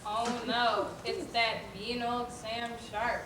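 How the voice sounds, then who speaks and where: A woman's voice: a little high, little pitch movement, takes it slowly, fairly bright tone, distant mic. A woman playing a wary young fish classmate in a children's stage play. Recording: echoey, noisy hall from afar.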